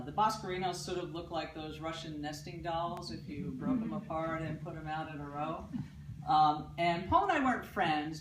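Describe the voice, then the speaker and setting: Loud new jersey-raised woman telling a story live, room hum underneath, lots of echo. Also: low voice. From Paterson, New Jersey. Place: theatre stage with live audience. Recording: roomy, distant mic, audible ambience.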